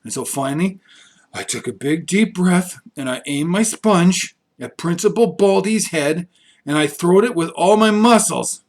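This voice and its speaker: A man reads a children's story aloud in a fairly high-pitched voice. His delivery is expressive, scripted storytelling, shaped for young listeners. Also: measured pace. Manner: expressive, character-voiced reading.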